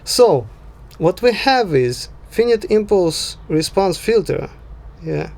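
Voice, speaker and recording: A man narrating an unscripted software tutorial as the tutor, talking haltingly and often checking in with a short tag word. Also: high-pitched, lively intonation, creaky. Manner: halting, frequent "yeah" check-ins. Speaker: sound design tutor.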